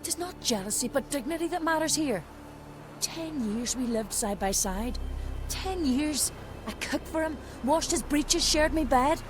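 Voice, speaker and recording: An actress playing a proud, scorned village herbalist in a fantasy game, vengeful and scornful, with rustic old-fashioned speech. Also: female voice, sits high, animated, a little slow, music in the background. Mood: bitter, vengeful. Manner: rustic, archaic wording, scornful.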